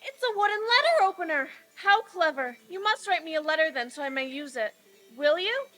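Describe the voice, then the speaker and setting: A woman playing a tender young sweetheart in a radio-play reading, earnest and expressive. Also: very high voice, brisk, soft background music, full character voice. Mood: delighted. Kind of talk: old-time radio stage reading.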